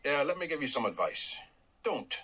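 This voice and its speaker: An actor playing a blunt, wry middle-aged dairy farmer with a Northern Irish accent, in a radio drama. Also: male voice, mid-range voice, big pitch swings, normal speed, bright, forceful tone, breathy edge, heavily acted. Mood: wry, stubborn.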